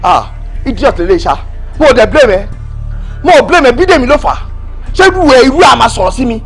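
A high, quick, restless voice swings wildly in pitch as it goes. It is a controlling young man with a Nigerian accent, loud and domineering. The delivery is scornful and pushy. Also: animated, breathy edge, faint music behind, exaggerated voice. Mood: bossy. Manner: shouting, insulting, domineering. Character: jealous, controlling young man who bullies.

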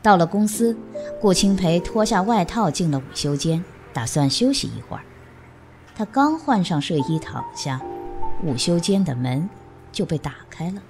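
A woman's voice: lowish voice, very animated, moderate pace. A woman delivering scripted narration for an audio drama. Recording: music under the narration. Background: music.

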